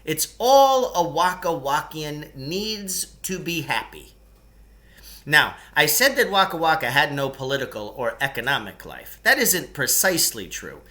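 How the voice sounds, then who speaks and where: A man's voice: on the high side, pushed and bright, full character voice. A man voicing a long-winded, nostalgic storyteller in a children's novel, ceremonious and pompous, drawing out key words. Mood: reverent, savoring. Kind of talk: children's novel read aloud in character.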